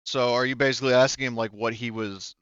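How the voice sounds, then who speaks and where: A man speaking as game master, improvising narration for a tabletop roleplaying game in a halting, conversational way. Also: medium pitch, fairly even intonation, a bit bright, clean tone, no room sound, highs cut off. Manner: halting, many verbal hedges like "you know".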